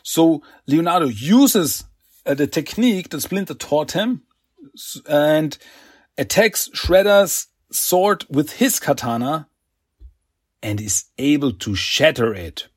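A man's voice: on the high side, big pitch swings, takes it slowly, breathy edge, dry recording. A man with a slight French accent hosting a casual comic recap podcast, rambling with fillers and restarts and acting out quotes. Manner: chatty, many fillers and restarts, acts out quotes. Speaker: podcast host.

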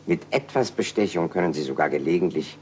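An actor playing a sardonic, cynical man in a measured, theatrical stage manner. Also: deep voice, sing-song, darkish tone, slight background noise. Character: cynical man dryly praising bribery as humanity.

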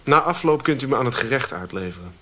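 A slim, proud Western adventurer in a radio drama, played by a man. He has a medium-pitched male voice and talks in a formal, curt way, impatient and defiant. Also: lively intonation, quick pace, background noise, close to an everyday voice. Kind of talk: radio play acting.

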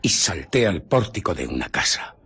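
An actor playing a bitter, haunted scientist and fugitive, recounting his ordeal in a radio play with theatrical, deliberate pauses. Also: low voice, very animated, a bit bright, breathy edge, heavily acted. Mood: tense recounting. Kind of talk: dramatic radio play narration.

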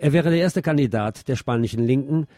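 A man's voice: medium pitch, fairly quick. A man reading scripted news as a radio presenter.

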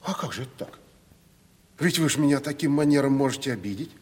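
An actor playing a shrewd, folksy timber merchant, deferential yet insistent, in a Russian radio comedy play. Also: male voice, medium pitch, animated, quick pace, slightly rough. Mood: aggrieved. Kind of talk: 1970s Russian radio stage drama. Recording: long hall reverb.

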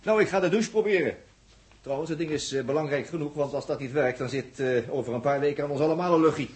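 An actor playing a chatty, jokey, excitable astronaut in halting, informal 1960s radio drama style. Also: male voice, on the high side, natural voice. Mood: sheepish, joking. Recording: noisy vintage 1960s radio recording.

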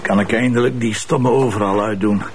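An actor with a Flemish accent playing a wry, grumbling middle-aged police commissioner, dry and sardonic. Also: male voice, fairly low pitch, fairly even intonation, fairly quick, a little hiss or hum, close to an everyday voice. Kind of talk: TV drama acting.

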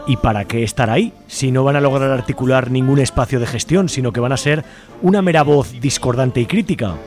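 Male radio co-host, Spain accent, medium pitch, scripted political editorial over a music bed. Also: brisk.

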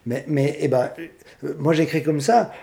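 An older man with a dark, mellow voice speaks in halting, rambling bursts, restarting words and then landing on blunt, gruff replies. He talks casually as a guest singer answering questions. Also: fairly high pitch. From Switzerland. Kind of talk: casual radio interview.

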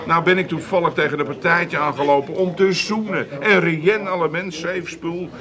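Male actor as slick Amsterdam chancer, fairly high voice, wheedling patter, radio comedy with busy crowd behind. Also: moderate pace, close to an everyday voice. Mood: wheedling, boastful. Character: smooth-talking Amsterdam hustler selling dubious goods. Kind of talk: 1980s radio comedy acting.

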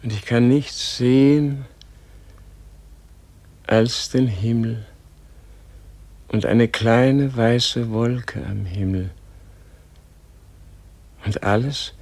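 Husky, very slow dying old sage. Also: male voice, medium pitch, a little mellow, full character voice. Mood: dazed, tender. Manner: slow, halting, solemn. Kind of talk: radio play acting.

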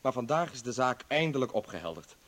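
An actor in a radio play playing a bitter, defiant doctor who was a political prisoner, arguing with sarcasm and indignation. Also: male voice, mid-range voice, big pitch swings, fairly quick, fairly bright tone. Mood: bitter, sarcastic. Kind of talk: dramatic radio play acting.

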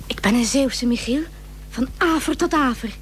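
An actress playing a tender, steadfast young sweetheart in a period radio drama, speaking softly, breathily and intimately. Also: female voice, sits high, normal speed, natural voice. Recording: noisy old radio recording with hum.

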